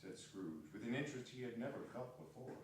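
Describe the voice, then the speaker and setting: A man's voice: medium pitch, lively intonation, a little fast. A man narrating a literary story as a dramatic reading. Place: hall of arts center. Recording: echoey hall, distant mic, faint noise.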